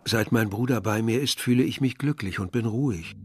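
An actor playing a young villager who tells his story in a measured, literary way, as narration in a radio drama. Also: medium pitch, noisy background, lightly acted. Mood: dreamlike, troubled. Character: young Algerian villager narrating a grim search for remains. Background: music.

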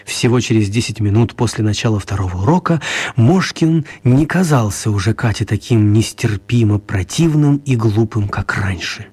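A man narrating a children's radio story as a wry storyteller, expressive and mock-serious, with deliberate pauses. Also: on the low side, husky.

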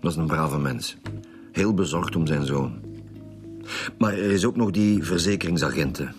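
An actor with a Flemish accent playing a calm, dogged chief inspector in a radio drama, measured and matter-of-fact. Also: male voice, rumbling low, faint music behind. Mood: calm, businesslike.

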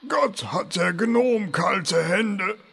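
A man playing a grumpy, sarcastic turtle with a raspy, gravelly voice in a comedic fantasy radio play. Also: high-pitched, expressive, drawn-out words, fairly bright tone, exaggerated voice. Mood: exasperated. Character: grumpy, sarcastic, very slow male turtle mount.

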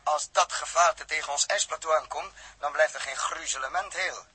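Male Dutch space captain, 1960s radio drama: brisk, matter-of-fact, very bright voice, fairly high, dry sound. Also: husky, no room sound. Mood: urgent, commanding. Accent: Netherlands Dutch.

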